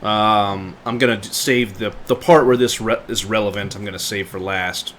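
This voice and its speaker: A man's voice: on the low side, fairly even intonation, normal speed, fairly bright tone. Male game streamer, engine noise behind. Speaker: game livestreamer. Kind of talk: casual live gameplay commentary. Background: game vehicle sounds.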